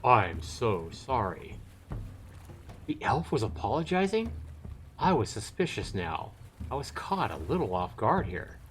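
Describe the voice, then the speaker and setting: A man's voice: mid-range voice, very animated, moderate pace, a little hiss or hum. A man hosting a livestream, reading a visual novel aloud and commenting casually. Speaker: livestream host.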